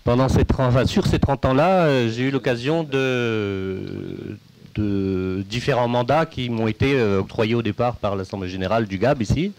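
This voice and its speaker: Middle-aged farmer, halting speech. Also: male voice, medium pitch, a little mellow, no echo. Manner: halting, searching for words. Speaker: organic vegetable farmer, panel guest. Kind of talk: public speaking at a panel.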